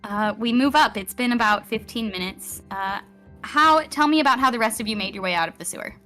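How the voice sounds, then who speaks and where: A woman's voice: a little high, brisk, pushed and bright. A woman narrating a live tabletop roleplaying game as game master, with theatrical storyteller phrasing. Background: music.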